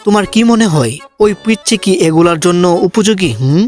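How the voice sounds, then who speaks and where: Guy doing old anime villain, highish voice thru voice changer, boastful n teasing, music playing. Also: expressive, fairly quick, a little mellow. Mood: wounded, threatening. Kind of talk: comic amateur anime parody dub.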